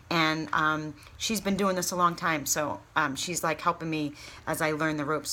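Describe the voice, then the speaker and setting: A woman's voice: low voice, pushed and bright. A woman giving an informal training talk in a chatty, candid, encouraging and emphatic way, like a coach talking to new consultants. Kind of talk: informal training talk with acted sample pitches.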